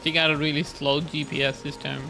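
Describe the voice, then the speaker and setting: A man's voice: on the high side, flat delivery, a little fast, creaky, sharp tone, faint music behind, rough recording. A man playing a game on stream, casually reading dialogue aloud and commenting, sometimes stumbling over the words. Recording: noticeable background noise.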